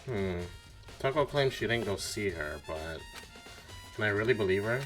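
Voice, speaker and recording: A man's voice: fairly low pitch, animated, normal speed, fairly bright tone, faint music behind. A man voicing an earnest, suspicious young detective, questioning and narrating in an amateur video game dub. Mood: thoughtful. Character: earnest, suspicious teenage boy detective narrating his investigation.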